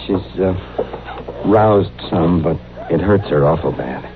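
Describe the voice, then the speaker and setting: Low-voiced, worried rustic husband. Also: male voice, a little hiss or hum. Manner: halting, plain country speech. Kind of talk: old-time radio western acting. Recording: tinny, phone-like old radio recording.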